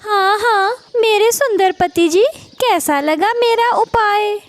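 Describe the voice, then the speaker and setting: Lady doing vain bossy cartoon crow wife, super high pitched, drags her words out. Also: slow pace, heavily acted. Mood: scheming, showy. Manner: showy, self-important, bossy. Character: vain, scheming female crow wife craving fame. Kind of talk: Hindi cartoon character acting.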